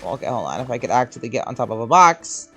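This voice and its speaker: A man's voice: medium pitch, sing-song, very fast, fairly bright tone. A man giving casual gameplay commentary as a streamer, rambling and reactive, swearing casually. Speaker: game streamer. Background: game music.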